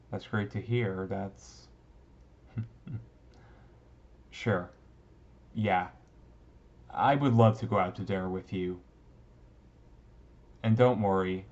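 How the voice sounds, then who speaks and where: Casting: man as emo goth vampire classmate, shy and sweetly smitten, lowish voice, slightly muffled lo-fi audio, romance roleplay. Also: darkish tone. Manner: hesitant, bashful, casual, teasing. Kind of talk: romantic roleplay audio drama, talking to listener.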